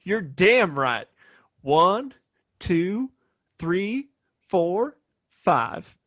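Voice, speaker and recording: A blustering Wild West gunslinger with a badge and a short temper. His American voice sits high and swings widely as he throws folksy insults around in a radio-play western. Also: very animated, very slow, fairly bright tone, heavily acted. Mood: menacing, indignant. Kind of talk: audio play acting, western.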